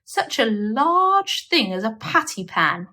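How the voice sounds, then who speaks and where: A woman's voice: fairly high pitch, very animated, slow, sharp tone, full character voice. A woman voicing an anxious, melodramatic lady animal in a storybook, moaning and plaintive as she talks. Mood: mournful, puzzled.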